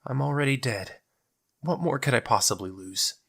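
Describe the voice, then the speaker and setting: A man's voice: medium pitch, normal speed, vocal fry, breathy edge, voice pushed up. A man voicing a defiant, hot-tempered young woman in an audio drama, with a sarcastic manner. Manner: sharp, sarcastic.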